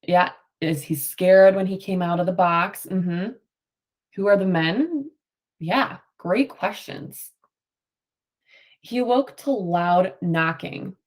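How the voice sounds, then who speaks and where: Slow-paced woman teacher reading aloud. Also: fairly low pitch. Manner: instructional, prompting and affirming answers. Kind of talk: picture-book read-aloud with questions to a class.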